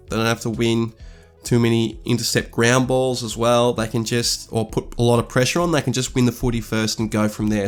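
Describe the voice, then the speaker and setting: Stuffy-nosed man analysing football. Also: mid-range voice. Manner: thoughtful, measured, with fillers and restarts. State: stuffy from a cold. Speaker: sports analyst. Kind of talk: scripted-ish stats commentary over slides.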